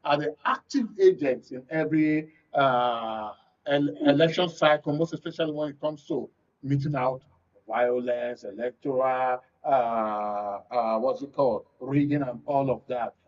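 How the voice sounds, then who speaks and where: A man's voice: mid-range voice, a little slow. A man with a Nigerian accent hosting a political discussion, putting questions to his guests. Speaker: host. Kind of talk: moderating a political radio and TV discussion. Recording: dull lo-fi sound, distant mic.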